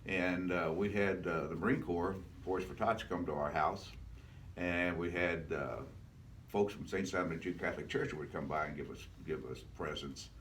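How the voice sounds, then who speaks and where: A man's voice: sits low, normal speed, a bit bright, far from the mic, slight background noise. An older man from Louisville, Kentucky, answering interview questions in a reflective, folksy way and repeating words for emphasis. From Louisville, Kentucky, United States. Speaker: retired charity founder, interview guest.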